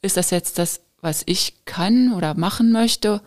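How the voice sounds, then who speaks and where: A woman's voice: mid-range voice, unhurried, a little mellow. A woman hosting a podcast, talking in an encouraging, reflective way like a coach. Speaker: podcast host. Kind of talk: casual podcast conversation.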